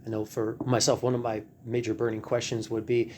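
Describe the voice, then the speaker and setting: A man's voice: mid-range voice, little pitch movement, fairly quick, noisy background. A man presenting at a seminar, thinking aloud in discussion and answering others with listening murmurs. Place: lecture room.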